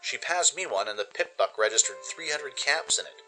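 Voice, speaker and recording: A man's voice: mid-range voice, lively intonation, normal speed, pushed and bright, soft background music, rough recording. A man giving dramatic first-person scripted narration as the narrator of a radio play.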